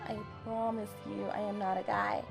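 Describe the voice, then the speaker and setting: A young woman with a deep voice, recording a casual late-night game voiceover at home on a desktop mic, chatty and self-deprecating. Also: level tone, normal speed, gravelly, music in the background. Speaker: gaming YouTuber. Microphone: desktop USB mic.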